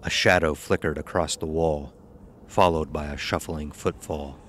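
A man's voice: a bass voice, steady tone, normal speed, a bit bright, slight background noise. A man reading a scripted horror story as its narrator. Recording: music behind the voice. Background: music.

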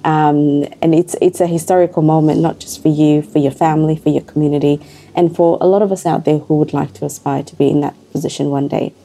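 A woman's voice: deep voice, steady tone, a little fast. A woman hosting a TV studio interview, greeting her guest formally and affirming often with "beautiful" and "yeah". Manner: warm formal welcomes, frequent affirming interjections. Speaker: talk show host. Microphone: table microphone.

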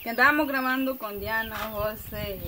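A young woman chatting lively while cooking in an outdoor rustic kitchen, recorded on a phone. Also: a little high, normal speed, heavy background noise. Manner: lively, explaining. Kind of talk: casual cooking chat. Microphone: handheld phone camera.

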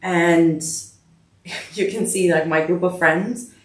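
A woman's voice: fairly low pitch, steady tone. Chatty woman in an echoey room. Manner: chatty, quick bursts with frequent fillers and restarts. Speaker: podcast guest. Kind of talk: casual interview chat. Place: living room, indoors, medium size.

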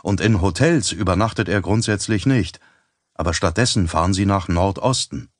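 Man, audiobook narrator, low voice, very dry close studio sound, measured delivery. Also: dry recording. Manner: measured, even storytelling. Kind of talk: scripted narration. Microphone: close studio mic.

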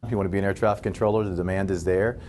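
A deep-voiced American man answers interview questions as a training official. He talks quickly, with a faint low rumble behind him. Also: fairly even intonation, darkish tone. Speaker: air traffic training official.

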